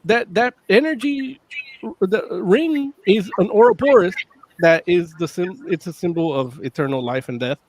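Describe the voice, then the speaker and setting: A man's voice: high voice, moderate pace, darkish tone, slight background noise, low-quality recording. A man co-hosting a casual chat over a video call, explaining things in a knowing way and trailing off. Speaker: podcast co-host.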